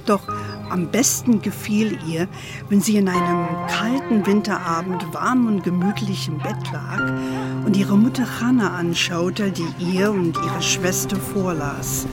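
A woman's voice: fairly low pitch, loud music behind the voice, close to an everyday voice. An actress playing an older grandmother with an American-tinged German accent, tenderly telling her family history in a children's radio play. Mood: tender, reminiscing. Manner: measured, tender, sprinkles in English endearments. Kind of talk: gentle bedtime storytelling in a children's radio play.

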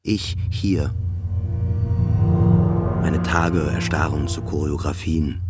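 Weary depressed patient, deep-voiced radio play monologue, halting phrases, slow delivery. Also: male voice, fairly even intonation, loud music behind the voice. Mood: flat, weary. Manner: halting short phrases, repeats words, often drops to whispers. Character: weary, depressed male psychiatric patient telling his story.

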